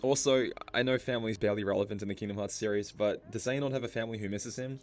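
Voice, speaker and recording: A man with a fairly low voice narrates a scripted lore explainer over a music bed. His delivery is measured and reflective, often framed as musing questions. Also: fairly even intonation. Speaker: video essay narrator. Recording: music under the voice. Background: background music.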